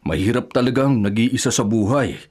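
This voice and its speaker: A man's voice: medium pitch, moderate pace, darkish tone, husky, natural voice. A man acting as an older bachelor brother on a pension in a Filipino radio drama, frank and sympathetic. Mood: regretful, resigned.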